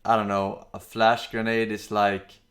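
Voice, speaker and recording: A man's voice: lowish voice, unhurried. A young man, streaming and chatting casually, rambling and reading out chat messages. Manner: relaxed, rambling, with fillers and some swearing.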